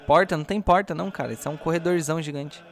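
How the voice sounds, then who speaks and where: A man's voice: fairly high pitch, rapid-fire, creaky, faint music behind. A man with a Brazilian accent narrating a tabletop role-playing game as game master.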